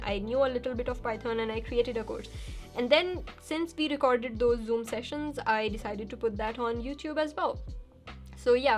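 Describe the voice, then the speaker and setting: A young woman answering viewer questions in a casual vlog, chatty and quick to laugh. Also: a little high. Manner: chatty, laughs easily, reads questions aloud then answers.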